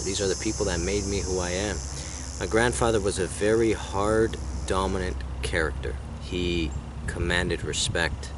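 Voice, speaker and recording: A man in his thirties, whose first language is Greek, talking in a personal vlog in a soft, reflective way that often trails off. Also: deep voice, background noise. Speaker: vlogger.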